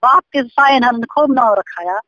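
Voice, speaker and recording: High-pitched woman with a Mewati accent. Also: unhurried, pushed and bright, dry recording. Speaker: amateur folk singer on a phone call. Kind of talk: casual phone chat. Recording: recorded phone call.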